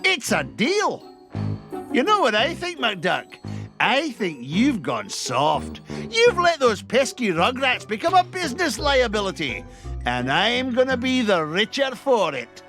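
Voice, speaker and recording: A man's voice: extremely high pitch, huge pitch swings, measured pace, over music, heavily acted. A man voicing a boastful, sneering rival tycoon duck. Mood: smug. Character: smug rival rich duck, boastful, cheating. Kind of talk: video game cutscene acting.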